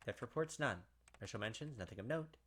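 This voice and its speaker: A man's voice: mid-range voice, animated, brisk, fairly bright tone. A man giving casual gaming commentary and reading on-screen text aloud, talking through his theories in a puzzled way. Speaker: gaming video host. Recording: clear background game noise.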